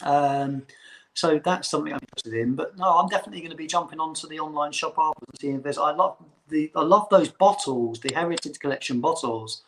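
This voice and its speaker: Guy hosting a live stream, kinda high voice, eager and chatty. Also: quick pace, a bit bright, a little hiss or hum, poor audio. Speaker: host.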